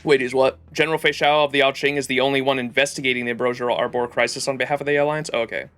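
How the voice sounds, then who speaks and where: A male game livestreamer with an average-pitched voice. He is informal and playful, commenting casually and reading game text aloud. Faint music plays underneath. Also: fairly even intonation, rapid-fire, fairly bright tone, smooth.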